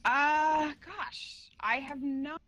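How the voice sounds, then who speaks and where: A woman's voice: high-pitched, steady tone, extremely slow, a crowd in the background. A woman guest on a podcast, telling stories in loose, rambling talk full of fillers and restarts. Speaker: guest, cosplay face model. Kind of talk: casual podcast chat.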